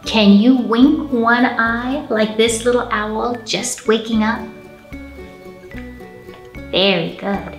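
Higher-voiced woman reading to children, roomy sound. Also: drawn-out words, pauses often, faint music behind. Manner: animated, encouraging, addressing young children. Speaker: children's librarian and storytime host. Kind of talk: children's storytime read-aloud. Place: indoor library storytime room.

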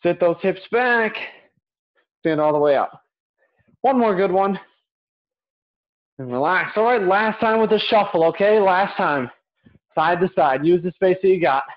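High-pitched young male workout coach. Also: a little slow, long pauses. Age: young adult. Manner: encouraging, short repeated cues; physically active while talking. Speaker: fitness coach. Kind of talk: instructional workout coaching with counted cues. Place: small indoor home gym. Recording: dull lo-fi webcam audio.

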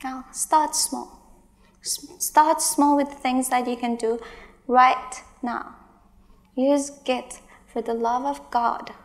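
Conference speaker, woman in her thirties, high voice with gravelly fry, emphatic and exasperated. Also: fairly even intonation, slow pace. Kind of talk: tech conference talk with Q&A. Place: large stage in a hall.

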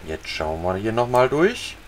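A man casually talking viewers through a fishing video game, relaxed and chatty. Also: lowish voice, a little slow, a little hiss or hum. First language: German. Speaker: gaming channel host. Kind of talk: casual let's-play commentary.

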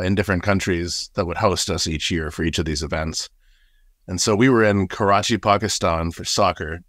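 A man in his forties who grew up in Nepal, a guest telling animated stories with frequent laughs over a webcam from a small room. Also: deep voice, dry recording, clean studio sound. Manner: animated, laughs often, gestures broadly. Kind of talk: casual storytelling. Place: small room with paper screens. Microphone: video call webcam.

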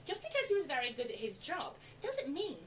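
A woman playing a sentimental, theatrical female storyteller in a children's show, with dramatic, deliberate, drawn-out phrasing. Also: high-pitched, huge pitch swings, a bit bright, exaggerated voice. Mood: storytelling. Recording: distant mic, faint background noise.

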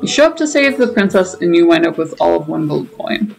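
Low, creaky-voiced woman gamer. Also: sing-song, fairly quick, rough recording. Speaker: gaming video host. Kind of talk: casual gameplay commentary. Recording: faint game music behind. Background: game music and dialogue.

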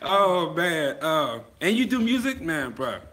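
Man from Virginia, 30s, livestream host; bright, higher-set voice, laughs a lot, phone mic at arm's length. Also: big pitch swings, measured pace. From Virginia, USA. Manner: laughing, reading viewer comments aloud. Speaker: photographer hosting a livestream. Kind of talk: casual livestream chat. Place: photo studio in a garage, indoors. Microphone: phone held at arm's length.

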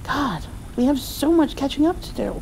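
A woman playing a doting, playful mother comforting her grown child in an intimate ASMR roleplay, softly and affectionately. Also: high-pitched, lively intonation, gravelly, slight background noise, rough recording. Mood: playful, cozy. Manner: soft, affectionate, slow with gentle laughs.

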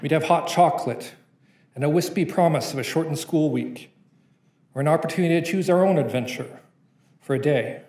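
A man's voice: fairly high pitch, fairly quick, a little mellow, echoey room. A young man performing a spoken-word poem at a conference, reading from notes with a rhythmic, playful delivery. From Canada. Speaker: poet. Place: conference room.

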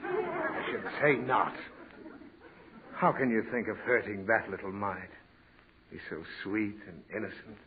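A man's voice: on the low side, sing-song, measured pace, long pauses. An actor playing a sentimental, easily flustered father who lectures his daughter in a 1940s radio comedy. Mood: fussy. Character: patient, fussy father of a naughty girl. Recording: tinny old radio broadcast with faint hiss.

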